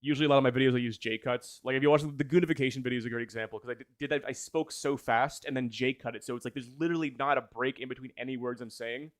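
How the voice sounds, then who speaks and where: A man's voice: medium pitch, fairly even intonation, rapid-fire, hardly any pauses, dry recording, clean studio sound. A man who makes video essays chatting casually on a livestream in an enthused, rambling way, often correcting himself. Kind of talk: casual livestream chat with viewers.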